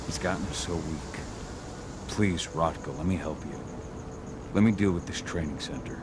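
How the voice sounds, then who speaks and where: Very deep, brooding warrior. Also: male voice, normal speed, very noisy. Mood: grave, pleading. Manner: terse, grave, restrained. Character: brooding, gruff male werewolf warrior, guarded, determined father. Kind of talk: video game voice acting.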